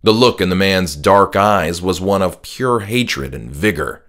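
A man reading a scripted first-person horror story as an audiobook narrator, in a measured, dramatic way. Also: deep voice, lively intonation, fairly bright tone. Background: music.

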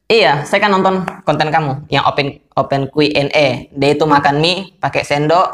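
A young man hosts a casual podcast call from a small room. His voice sits a little high and is slightly hoarse, and he talks in a relaxed, chatty vlogger way. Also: normal speed. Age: young adult. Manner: laid-back, chatty. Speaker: YouTuber hosting a podcast call. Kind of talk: casual vlog-style phone interview.

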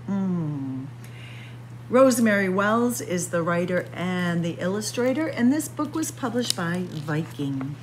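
A woman reading a picture book aloud to young children in a playful, expressive story time manner. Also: mid-range voice, a little hiss or hum. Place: indoors, library with bookshelves.

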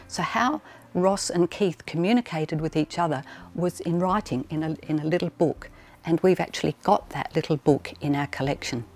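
Aussie lady in her 60s, lowish voice, doing a presenter piece like a librarian explaining stuff. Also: normal speed, a little mellow. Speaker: librarian and exhibition curator presenting. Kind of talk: scripted-style presentation to camera. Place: indoors in a large archive storage room among shelving.

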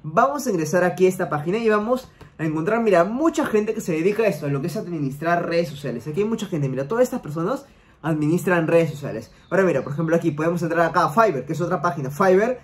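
A man's voice: fairly high pitch, lively intonation, quick pace. A young man hosting a screen-recorded tutorial from a room at home, talking straight to the viewer with repetitive, step-by-step directions. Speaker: online money-making YouTuber. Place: home room, gaming chair.

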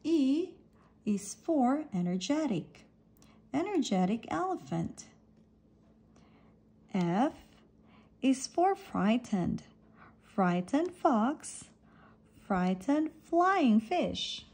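A woman reading an alphabet picture book aloud for children, deliberately setting apart each letter and phrase. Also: high voice, very animated, a little mellow, slightly rough.

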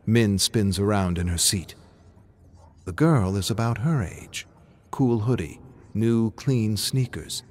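A man's voice: on the low side, slow, darkish tone, a little hiss or hum. A man reading scripted, dramatic story narration as the narrator.

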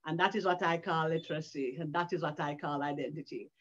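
A woman's voice: deep voice, fairly even intonation, fast. An older woman from the Caribbean, an author and educator, speaking earnestly on a video call from her living room, with frequent restarts. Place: living room at home, indoors. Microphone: video call webcam. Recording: dull lo-fi call audio.

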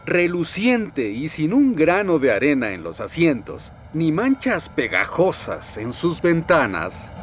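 A man narrating a children's cartoon in an expressive storybook style. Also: a little high, takes it slowly, few pauses, slight background noise.

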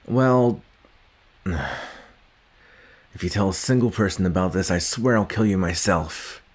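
A young man playing a gruff, guarded but secretly caring villain in an ASMR roleplay, speaking haltingly with sighs and grudging tenderness. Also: low-pitched. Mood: grudgingly tender. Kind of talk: ASMR roleplay addressed to the listener.

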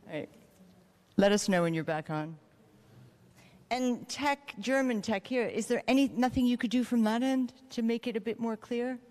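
A woman in her forties moderating a conference panel with a handheld mic, lively and joking between questions. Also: fairly high pitch, moderate pace. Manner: lively, chatty, joking asides. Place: large conference hall with audience. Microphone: handheld microphone. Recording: long hall reverb.

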